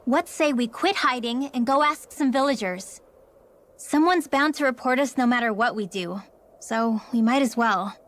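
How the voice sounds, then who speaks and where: Actress as guarded young woman, high voice. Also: normal speed, a bit bright, lightly acted. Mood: frank. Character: guarded young village woman with secret powers. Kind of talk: video game cutscene acting. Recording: music behind.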